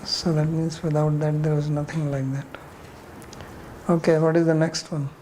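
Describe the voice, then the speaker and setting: A man's voice: on the high side, little pitch movement, normal speed, dark tone, a little hiss or hum. A man with an Indian accent leading an informal class discussion as a spiritual teacher, answering questions bluntly and challengingly with rhetorical questions.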